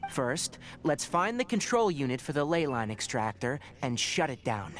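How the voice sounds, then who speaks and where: A man voicing a calm, analytical young scholar and forest ranger in a video game, explaining things in measured phrases, with music behind. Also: mid-range voice, natural voice. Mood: calm, focused. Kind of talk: video game dialogue acting.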